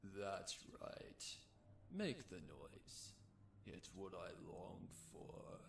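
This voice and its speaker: A man voicing a sadistic pony cultist with an eerily calm, menacing manner in a horror fan fiction reading. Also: low-pitched, very slow, gravelly, distant mic, a little hiss or hum, exaggerated voice. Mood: savoring cruelty. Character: sadistic, soulless male pony cultist, dazed and smiling.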